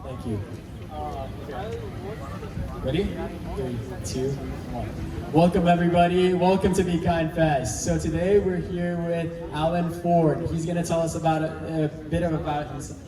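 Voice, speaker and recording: A man's voice: a little high, fairly even intonation, normal speed. A man hosting an interview at an outdoor festival, welcoming and upbeat like a presenter. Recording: echoey, distant mic with crowd noise.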